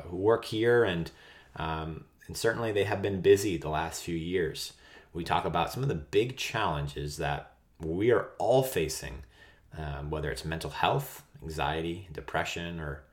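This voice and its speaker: Deep-voiced devout podcast host. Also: male voice. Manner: halting, many fillers and restarts, devout. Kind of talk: podcast host intro and interview.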